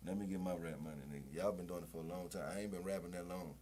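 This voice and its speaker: A man's voice: low-pitched, steady tone, racing, warm tone, a little hiss or hum. A man being interviewed as a rapper, talking in a boastful, profane, streetwise way and leaning on "you know what I'm saying" as he talks. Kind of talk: casual interview chat.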